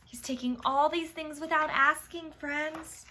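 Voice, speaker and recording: A woman reading a picture book aloud to young children, expressive, with chatty exclaiming asides to her listeners. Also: sits high, measured pace. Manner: expressive, addresses children, exclaims and reacts to the story. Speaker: children's storytime reader.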